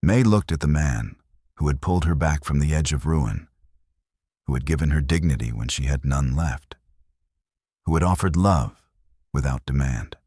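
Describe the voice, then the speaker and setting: Casting: solemn storybook narrator, extremely deep and husky, dry and close. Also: male voice, normal speed, long pauses, a little mellow, no echo.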